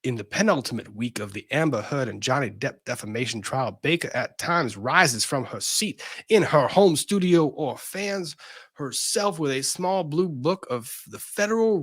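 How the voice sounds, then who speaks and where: Husky man reading aloud theatrically. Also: on the high side, sharp tone, no echo. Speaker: host of a legal commentary show. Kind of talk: dramatic reading of a lawsuit with brief asides.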